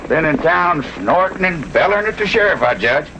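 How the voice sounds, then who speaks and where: An actor playing a folksy, nosy, chattering rancher with an American Western drawl and a wry, rambling manner in an old-time radio western. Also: male voice, on the high side, very animated, a little fast. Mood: neighborly. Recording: muffled, noisy old-time radio recording.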